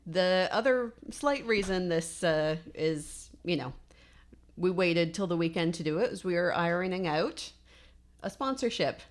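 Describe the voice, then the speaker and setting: A woman co-hosting a podcast in a relaxed, chatty way, often agreeing with her co-host. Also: on the low side, unhurried. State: recovering from lost voice. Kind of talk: casual chat.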